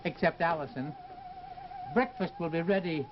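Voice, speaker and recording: An actor playing a gloomy, ominous servant in an old radio horror drama, speaking formally and with foreboding. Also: male voice, a little high, expressive, moderate pace, natural voice. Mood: dry, gloomy. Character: ominous, gloomy male servant of an isolated house. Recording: dull vintage radio recording with music.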